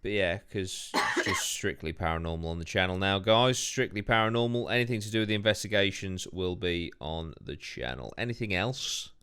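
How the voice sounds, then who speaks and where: A man's voice: low voice, moderate pace, hardly any pauses, bright, forceful tone, clean tone. A man casually chatting and reacting to his own paranormal video as host, rambling and swearing. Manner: laid-back, swears a lot, rambling. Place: home room.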